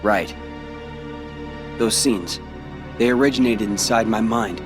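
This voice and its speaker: A cool-headed young man in an animated game. He speaks in a fairly low voice, measured and serious, with music playing behind. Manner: measured, matter-of-fact. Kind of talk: animated game character acting.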